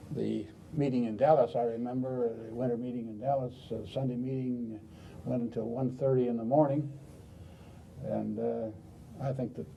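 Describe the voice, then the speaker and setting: A man's voice: gravelly, warm tone, slight background noise, low-quality recording. An older man from Oklahoma recalling past events as a panelist, unscripted. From Oklahoma, USA. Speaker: panelist, former society president. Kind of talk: unscripted recollection. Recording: rumbly 1990s video recording.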